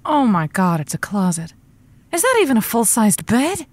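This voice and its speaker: A woman's voice: a little high, big pitch swings, moderate pace. A woman playing a teasing, flirty late-night radio host in an audio drama, prickly when off the air. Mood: exasperated.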